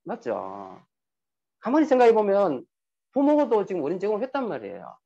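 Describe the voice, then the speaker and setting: A man lectures an audience in a lively, swooping voice, often tossing out rhetorical questions. His voice is husky and sits fairly high, and the recording sounds dull and lo-fi. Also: fairly quick. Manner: lively, rhetorical questions, acts out quoted dialogue. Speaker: speaker giving a talk on sales and emotions.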